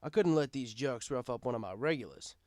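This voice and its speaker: A man's voice: medium pitch, lively intonation, a little fast, darkish tone, dry recording, clean studio sound. A man reading a first-person story aloud as narrator, in a conversational, wry storytelling style.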